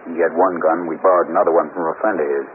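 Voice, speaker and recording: An actor playing a sullen, defiant out-of-work robbery suspect, surly and defensive, in a 1950s radio drama. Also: male voice, deep voice, fairly even intonation, fast, lightly acted. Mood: resigned. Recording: scratchy, narrow-band 1950s radio recording.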